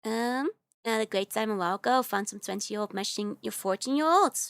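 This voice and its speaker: A woman's voice: on the high side, animated, fast, a bit bright, clear, resonant tone, no echo, studio quality. A young woman with a Dutch accent chatting casually on a livestream, giggly and rambling with lots of fillers. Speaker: VTuber streamer.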